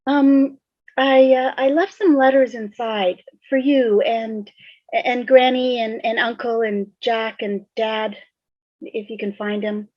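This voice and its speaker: A woman playing a troubled, guarded teenage girl who speaks haltingly in a drama table read, on slightly dull call audio. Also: a little high, fairly even intonation, no echo. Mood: hesitant, uneasy. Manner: halting, hesitant.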